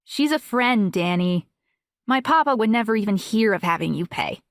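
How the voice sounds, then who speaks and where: A woman's voice: mid-range voice, animated, moderate pace, no room sound, lightly acted. Woman acting a young female audiobook character, self-assured, talkative, matter-of-fact, conversational, dry close sound. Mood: earnest.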